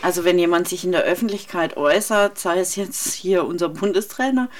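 A woman with a fairly low voice leads a radio interview. She talks conversationally and offers reflective prompts for her guests to pick up. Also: brisk. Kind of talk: radio interview, asking questions.

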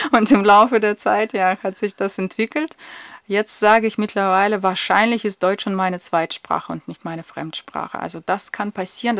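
A woman explains her field in an interview, leaning on examples and little tag questions. Her voice sits in a middle pitch and is recorded dry and close, with hardly any room around it. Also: fairly bright tone, dry recording. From Russia. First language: Russian. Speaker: interviewed lecturer.

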